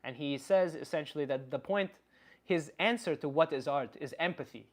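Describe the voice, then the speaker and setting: A man playing a blunt Slavic uncle, philosophizing in halting, casual livestream talk and answering chat comments. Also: a little high, lightly acted. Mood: earnest, reflective. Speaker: livestream host.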